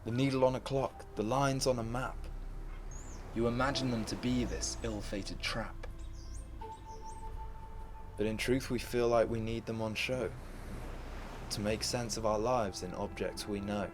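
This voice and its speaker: A man playing a troubled, earnest mortal who questions Death in measured, solemn rhyme. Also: on the low side, steady tone, faint music behind, lightly acted. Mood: earnest, defensive. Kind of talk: rhymed poetic monologue.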